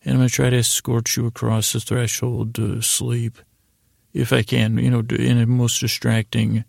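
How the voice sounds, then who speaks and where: An American man hosting a bedtime podcast in a gravelly, creaky voice, with a soothing, meandering, halting delivery full of stammered restarts. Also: mid-range voice, completely flat delivery, darkish tone, no echo, clean studio sound. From United States. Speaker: podcast host. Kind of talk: rambling bedtime-story podcast intro.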